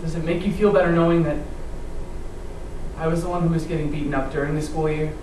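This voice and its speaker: A man's voice: on the high side, steady tone, quick pace. Distant, echoey young man performing storytelling. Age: young adult. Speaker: readers theatre performer. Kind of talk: scripted ensemble stage storytelling. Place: live stage performance in a hall. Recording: echoey hall from far off, noisy.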